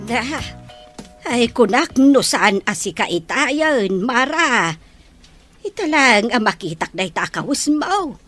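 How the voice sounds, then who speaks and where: Woman doing naggy older mom, high voice, ilocano accent, blunt and meddling, audio drama. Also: sing-song, slow pace, fairly bright tone, faint music behind, exaggerated voice. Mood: chiding. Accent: Ilocano Filipino.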